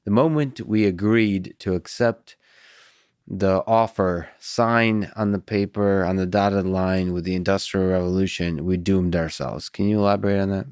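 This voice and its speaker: A man whose first language is Russian, hosting an interview and asking hesitant, halting questions with frequent restarts. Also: sits low, fairly even intonation, darkish tone, clear, resonant tone, no room sound, clean studio sound. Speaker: podcast host.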